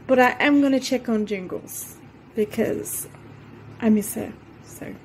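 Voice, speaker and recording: A woman's voice: a little high, unhurried, darkish tone, a little hiss or hum, low-quality recording. A woman, chatting casually to a phone camera in her home kitchen, rambling with many fillers. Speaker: vlogger. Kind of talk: casual vlog talk. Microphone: handheld phone camera.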